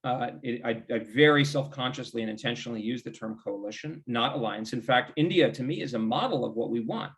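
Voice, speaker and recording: A man with an American accent discussing policy informally as an interview guest, in a discursive, halting way, often qualifying and rephrasing himself. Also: medium pitch.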